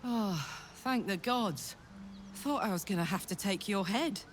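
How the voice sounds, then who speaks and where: An actress playing a brash but earnest devilish warrior fugitive in a video game, blunt and colloquial, with a British accent. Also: female voice, medium pitch, lively intonation, measured pace, a little hiss or hum, natural voice. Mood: relieved, friendly. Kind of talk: video game character acting.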